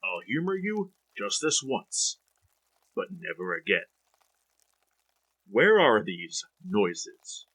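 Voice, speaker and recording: An actor playing a middle-aged, condescending scientist husband in a 1940s style radio drama, with a melodramatic delivery. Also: male voice, lowish voice, sing-song, takes it slowly, pauses often, a bit bright, exaggerated voice, rough recording. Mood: cold contempt. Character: cold, contemptuous scientist husband unravelling into panic.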